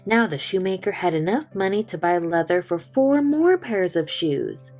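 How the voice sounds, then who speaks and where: Woman storyteller for kids, mellow and gentle, soft music underneath. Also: fairly low pitch. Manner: slow, gentle and expressive. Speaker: children's book reader. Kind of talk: storytime read-aloud narration.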